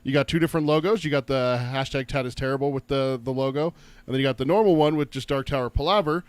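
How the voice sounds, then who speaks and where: A man co-hosting a casual podcast, listing links and social accounts in a brisk, matter-of-fact way, just getting over a cold. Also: mid-range voice, clean tone, no echo. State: recovering from a cold, coughing. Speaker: podcast co-host.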